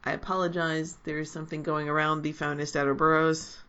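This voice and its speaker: A woman's voice: sits low, little pitch movement, a little fast, bright, forceful tone, dull audio. A woman co-hosting a casual podcast chat, speaking earnestly with a sore throat. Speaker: podcast co-host.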